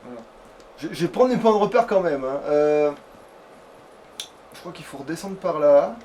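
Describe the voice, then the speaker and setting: A fairly high-voiced man chats casually through a video game as he plays, loosely and with swearing. He sits away from the mic, with some noise around him. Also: animated, normal speed, a little mellow. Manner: informal, swearing. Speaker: let's player. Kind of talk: casual gameplay commentary. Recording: distant mic, clear background noise.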